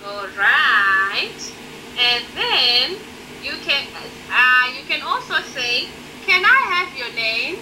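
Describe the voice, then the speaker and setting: A woman in her thirties teaching English online, modelling phrases slowly and praising her student, heard over a noisy video call. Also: high-pitched, sing-song, sharp tone. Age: 30s. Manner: slow, encouraging, models phrases clearly for repetition.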